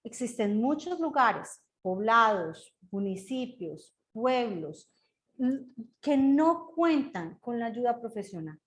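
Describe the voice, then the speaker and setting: A woman's voice: fairly high pitch, very animated, takes it slowly. A woman speaking as a grief counselor in an interview, earnest and listing her ideas one after another. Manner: earnest, lists ideas in series. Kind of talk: explaining in an interview.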